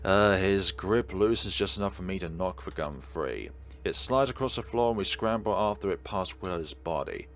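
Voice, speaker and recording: A man's voice: deep voice, expressive, moderate pace. A man reading a visual novel aloud as a let's play host, slipping in wry asides, with music behind him.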